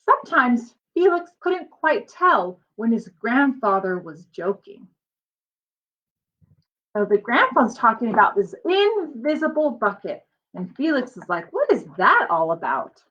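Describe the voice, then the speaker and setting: A woman's voice: a little high, sing-song, measured pace, fairly bright tone, dull audio. A woman reading a picture book aloud like a teacher, expressively and with simple explanatory asides for young children.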